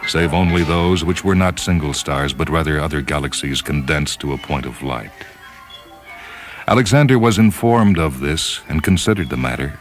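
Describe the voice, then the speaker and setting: Male narrator, extremely low voice, solemn measured dramatic storytelling, music behind. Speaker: short story reader. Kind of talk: dramatic scripted narration of science fiction. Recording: voice over background music. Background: orchestral and piano music.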